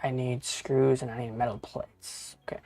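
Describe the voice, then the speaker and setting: A man's voice: mid-range voice, little pitch movement, moderate pace, dark tone, no room sound, faint music behind. A man in his thirties chatting casually with viewers while playing a game on a live stream, rambling and swearing, often calling people bro and dude. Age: 30s.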